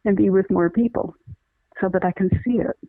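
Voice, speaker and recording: A woman's voice: medium pitch, fairly even intonation, brisk, no room sound. Woman guest, middle-aged, earnest explainer with many restarts; dry phone-line sound. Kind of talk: conversational interview, explaining.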